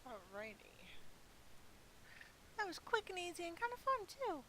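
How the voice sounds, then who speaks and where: A woman giving casual, offhand commentary to herself as she plays a video game, musing in short, unhurried remarks. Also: very high-pitched. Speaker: video game streamer narrating own play. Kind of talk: casual gameplay commentary, talking to self. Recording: hissy home recording, steady noise.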